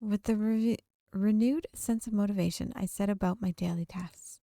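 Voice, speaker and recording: A woman's voice: medium pitch, fairly even intonation, moderate pace, mellow, no room sound, clean studio sound. A woman streaming a visual novel, reading its narration aloud expressively and sometimes correcting herself mid-sentence. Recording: background music under the voice. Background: game music.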